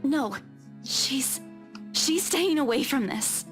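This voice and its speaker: Soft, anxious young game heroine. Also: female voice, high-pitched, animated, slow pace. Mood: firm, worried. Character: anxious, guilt-ridden young woman, soft but resolute. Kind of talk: visual novel voice acting. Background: background music.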